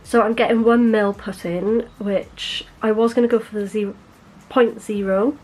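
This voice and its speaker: A woman's voice: fairly high pitch, steady tone, moderate pace, darkish tone, low-quality recording. A young woman, chatting to camera in a casual vlog, bubbly and rambling, correcting herself as she goes. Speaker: beauty vlogger.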